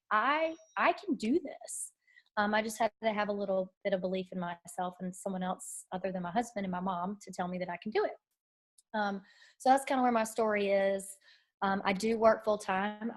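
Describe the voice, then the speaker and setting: Woman, nasal from bronchitis, chatty storyteller, fitness coach on a team call. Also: mid-range voice. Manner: chatty, storytelling, quoting herself. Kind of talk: casual online team call. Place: sunroom couch at home.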